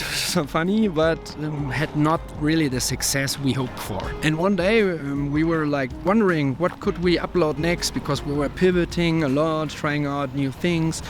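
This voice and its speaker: A man's voice: on the high side, background noise. A man from Germany with a German accent, talking casually to camera outdoors in a city as a YouTuber, using fillers and rephrasing as he goes. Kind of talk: casual vlog talk to camera. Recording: loud background music over street sound.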